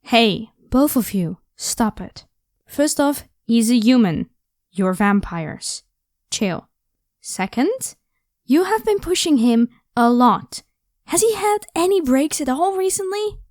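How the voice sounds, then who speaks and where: Woman as a high-voiced, caring vampire woman. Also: big pitch swings, slow, a little mellow, no room sound, studio quality, heavily acted. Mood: firm, protective. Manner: casual, encouraging, reassuring. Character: caring, protective, playful young vampire woman. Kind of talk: ASMR audio roleplay.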